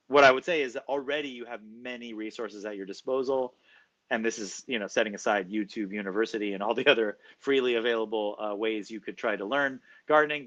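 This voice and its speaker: A man answering interview questions as a professor, explaining at length in a measured way with frequent fillers. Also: fairly low pitch, fairly bright tone, highs cut off.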